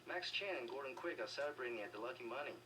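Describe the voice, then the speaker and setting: An actor playing a wary, loyal, hurried Hong Kong triad member in a video game, on a noisy distant capture. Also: male voice, medium pitch, expressive, lightly acted. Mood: guarded, urgent.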